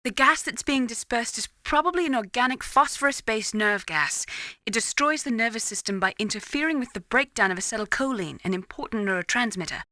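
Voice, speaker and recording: A cool, clinical scientist in a video game. Her voice is fairly high and crisp-edged, measured and precise, composed with something held back, in very dry sound. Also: lively intonation, pushed and bright, no echo. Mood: clinical, informative.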